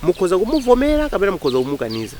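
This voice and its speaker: Male Malawian interviewer, animated intonation, brisk and chatty, light noise behind. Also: high-pitched. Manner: interjects and echoes the other speaker often. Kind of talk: casual chat interview.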